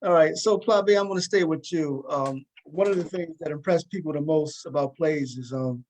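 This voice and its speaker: A man's voice: on the high side, normal speed, darkish tone, poor audio. A man hosting a talk show, interviewing conversationally and often repeating words as he forms his questions.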